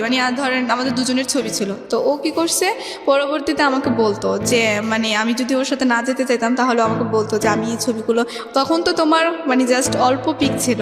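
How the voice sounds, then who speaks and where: A young woman with a Bangladeshi accent telling her life story as an interview guest in rambling, colloquial speech. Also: sits high. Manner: rambling, colloquial, often recounts reported speech. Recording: echoey reverberant room, music underneath.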